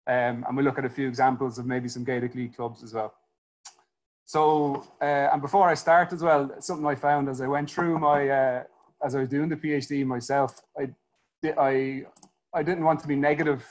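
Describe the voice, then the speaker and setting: A man's voice: medium pitch, fairly even intonation, normal speed. A man in his thirties with an Irish accent, giving a history lecture in a conversational, hesitant way with many fillers and restarts. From Ireland.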